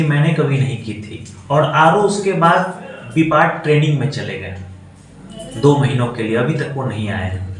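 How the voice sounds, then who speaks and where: Echoey, higher-pitched Bihari man, official explaining. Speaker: government land revenue officer. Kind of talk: explanatory defensive statement to a reporter. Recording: very echoey room, distant mic, faint noise.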